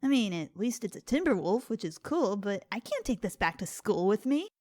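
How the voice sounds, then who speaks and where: A woman's voice: medium pitch, sing-song, moderate pace, darkish tone, no echo, studio quality. A woman playing a sullen, cynical teenage pony with a grumbling, unimpressed manner in a dramatic story reading. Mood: annoyed. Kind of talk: fan fiction dramatic reading.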